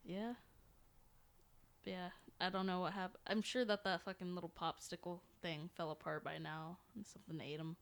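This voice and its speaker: A woman's voice: fairly low pitch, steady tone, normal speed. A young American woman co-hosting a podcast, bantering casually with slang, jokes and easy swearing. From North Carolina, United States. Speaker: podcast co-host.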